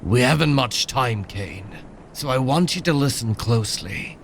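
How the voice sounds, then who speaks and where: An actor playing a cold, controlling cybernetic colonel in a sci-fi audio drama, measured and calmly commanding. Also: male voice, mid-range voice, a little hiss or hum. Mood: urgent, controlled.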